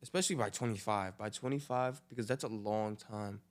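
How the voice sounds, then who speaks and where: A man's voice: on the low side, fairly quick. An eighteen-year-old man talking earnestly and casually with his mother as a podcast co-host. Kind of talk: casual family chat.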